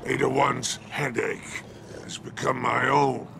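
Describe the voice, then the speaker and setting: Gravelly alien mob boss for a game, sly n menacing, slow drawn out lines. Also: male voice, level tone, noisy background. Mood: sly amusement. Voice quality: deep gravelly, growling. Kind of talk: video game character acting.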